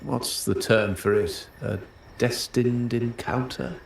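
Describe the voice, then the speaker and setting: An actor playing a suave, philosophical gentleman in a video game, speaking in measured, poetic phrases. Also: medium pitch, steady tone. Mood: suave, teasing. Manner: measured, poetic, smooth-mannered. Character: suave, philosophical gentleman with veiled protective intent. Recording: roomy echo, faint background noise.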